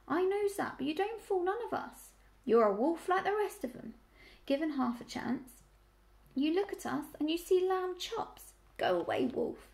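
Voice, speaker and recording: A woman voicing a sour, complaining old lame ewe in a storybook, with a hoarse voice and rustic dialect. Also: very high-pitched, big pitch swings, unhurried, pitched above the performer's own voice, heavily acted.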